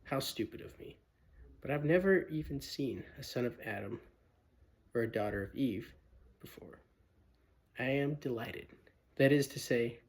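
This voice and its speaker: A man's voice: mid-range voice, animated, unhurried, long pauses, slightly rough. A man voicing a polite, flustered male fawn in a storybook reading, repeating himself in his courteous, wistful way. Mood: eager.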